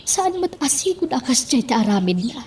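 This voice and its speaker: An actress playing a suspicious, grumbling village wife in an Ilocano radio drama. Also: female voice, sits high, big pitch swings, moderate pace, darkish tone. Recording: faint background noise.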